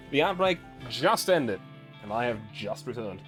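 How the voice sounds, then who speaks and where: Male game streamer, lively varied pitch, slightly raspy, halting read-aloud of story text, music behind. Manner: halting, often stumbles and corrects words while reading. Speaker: livestream host.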